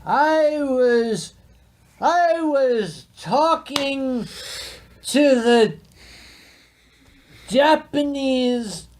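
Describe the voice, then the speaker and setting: A man's voice: very high-pitched, lively intonation, very slow, pauses often, a little hiss or hum. A man with a Philadelphia accent, co-hosting a livestream chat and telling dry, teasing anecdotes. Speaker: podcast co-host.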